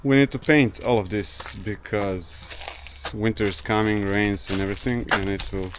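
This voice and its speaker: A man's voice: fairly low pitch, steady tone, unhurried, background noise. A man whose first language is Croatian, speaking English in a casual vlog as he works around a rural summer house. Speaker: vlogger. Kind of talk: casual vlog narration while doing chores. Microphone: action camera.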